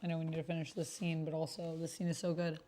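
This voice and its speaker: A woman with a slightly low voice hosts a casual roleplay livestream. She thinks aloud as she reads and types, moving between chat and game text in an informal way. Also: completely flat delivery, quick pace, dark tone, a little hiss or hum. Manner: thinks aloud while reading and typing. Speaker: streamer playing a text-based roleplay game. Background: computer keyboard typing.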